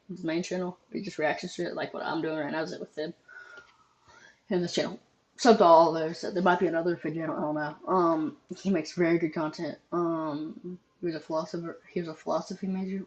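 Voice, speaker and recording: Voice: medium pitch, dull audio. A young adult reaction host commenting casually, rambling with many ums and casual swearing.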